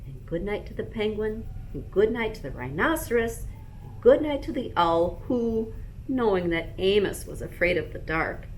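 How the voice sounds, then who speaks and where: A woman, a school librarian, reading a bedtime story aloud to young children in an expressive, animated manner. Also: medium pitch, moderate pace, slight background noise, low-quality recording.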